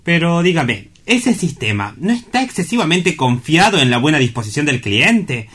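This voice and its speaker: A man's voice: a little high, sing-song, normal speed, a bit bright, husky, heavily acted. A man playing a wry, cynical newspaperman in a radio play, skeptical and pointed in his questions. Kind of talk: theatrical radio play acting.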